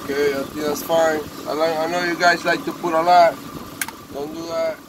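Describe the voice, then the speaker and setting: A man's voice: a little high, flat delivery, moderate pace. Spanish-accented man in a noisy workshop. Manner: chatty, talks to the machine as if to a pet. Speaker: eBay parts seller. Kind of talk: casual workshop vlog. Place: cluttered workshop indoors. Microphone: phone camera held close. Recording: phone recording with clear engine noise. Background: engine and machine noise.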